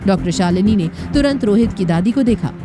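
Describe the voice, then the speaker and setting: A female narrator with a medium-pitched voice tells a story for an audio drama. Her delivery is scripted and dramatic, with music clearly playing behind her. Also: animated, fairly quick. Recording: music bed under narration. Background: dramatic background music.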